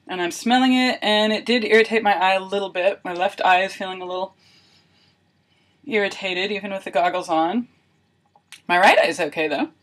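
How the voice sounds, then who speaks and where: A woman's voice: medium pitch, fairly bright tone. A woman video blogger talking casually and thinking aloud, with wry, self-deprecating humour. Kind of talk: casual chatty vlog narration of a homemade experiment.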